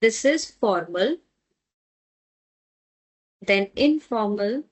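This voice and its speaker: Slow patient woman teaching a lesson. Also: mid-range voice, animated, poor audio. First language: Tamil. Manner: patient, repeats words slowly, checks in with "okay?". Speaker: German language teacher. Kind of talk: online language lesson.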